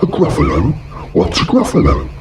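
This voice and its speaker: Storybook owl, fluting and hooting, high and swooping voiced by a man, faint hiss. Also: wildly swooping pitch, normal speed. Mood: haughty. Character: posh old male owl.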